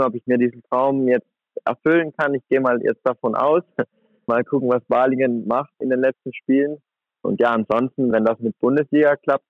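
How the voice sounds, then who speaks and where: A young man in his twenties chats about himself in a relaxed way, leaning on fillers as he talks. His voice comes through a tinny phone line and sounds dry and close, with an even middle pitch. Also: no echo. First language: German. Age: 20s. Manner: relaxed, chatty, many fillers like "sag ich mal". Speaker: guest handball player. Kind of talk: casual interview.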